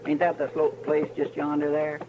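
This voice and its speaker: A man's voice: fairly high pitch, monotone, moderate pace, muffled recording, close to an everyday voice. A man playing a folksy, naive, earnest western sidekick with an in a radio drama. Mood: alert. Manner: rustic, naive, folksy grammar. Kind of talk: 1950s western radio acting. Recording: noisy old radio recording.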